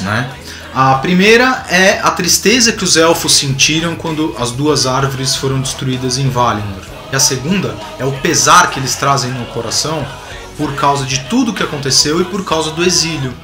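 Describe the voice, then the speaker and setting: A man's voice: medium pitch, sing-song, moderate pace, over music. A man of about forty with a Brazilian Portuguese accent, hosting a video commentary to camera in an enthusiastic, theatrical manner that addresses viewers directly. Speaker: YouTube channel host. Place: small home room with bookshelves, indoors. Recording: roomy, echoing home recording.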